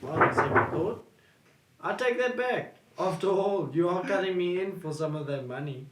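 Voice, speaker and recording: A man's voice: high-pitched, expressive, pitched above the performer's own voice. A man doing a cursing greedy young heir. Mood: bitter. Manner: loud, slow and theatrical exclaiming. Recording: echoey room with noise.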